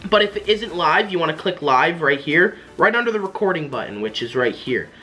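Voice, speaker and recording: A young man hosts a casual how-to tutorial in a bright, forward voice. He explains informally and often circles back to repeat his point. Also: on the high side, lively intonation, brisk, faint music behind. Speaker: tutorial host.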